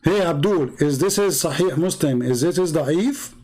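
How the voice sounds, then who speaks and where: A man's voice: on the high side, lively intonation, normal speed, a little mellow. Arabic-accented man, sarcastic debater. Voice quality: broken, non-native grammar. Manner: argumentative, sarcastic rhetorical questions. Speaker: religious debate commentator. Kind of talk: polemical commentary.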